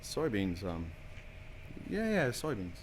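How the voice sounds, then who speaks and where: A man's voice: fairly low pitch, wildly swooping pitch, slow, a little hiss or hum, heavily acted. An actor playing a restless, stubborn young small-town man, stammering and bursting out in a 1940s-style radio play. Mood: hesitant. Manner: stammering, hesitant, then bursting out.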